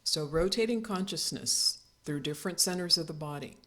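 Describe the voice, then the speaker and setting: A woman leading a guided yoga nidra relaxation as the instructor, speaking in calm, soothing, unhurried instructions with pauses. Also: lowish voice.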